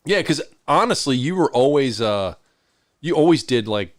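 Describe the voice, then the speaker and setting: Middle-aged man, podcast co-host, mid-pitched voice, informal storytelling, dry recording. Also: lively intonation, normal speed, no room sound. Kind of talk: casual chat, reminiscing.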